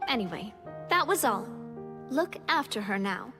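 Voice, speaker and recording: A woman's voice: fairly high pitch, very animated, a little slow, over music. A woman playing a confident, caring young female leader in a video game, with a self-assured, slightly grandiose manner. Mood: considerate. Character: confident, proud, caring young woman, troupe-like organization president.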